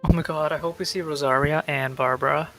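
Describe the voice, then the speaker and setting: Guy streamer reacting to a game, kinda high creaky voice, slangy, playful. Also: fairly even intonation, fairly quick, fairly bright tone. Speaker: gaming streamer. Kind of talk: casual gameplay commentary. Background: game music.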